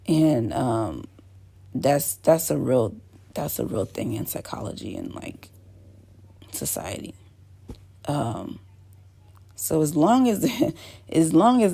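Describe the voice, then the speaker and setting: Low gravelly-voiced woman chatting casually. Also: mellow. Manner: rambling, many fillers and restarts. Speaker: channel host. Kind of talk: casual talk to camera.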